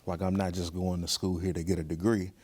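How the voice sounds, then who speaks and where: A man with a low voice talks thoughtfully as a guest in an interview. His delivery is earnest and measured, with pauses as he picks his words. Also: level tone, a little mellow. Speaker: museum professional, podcast guest.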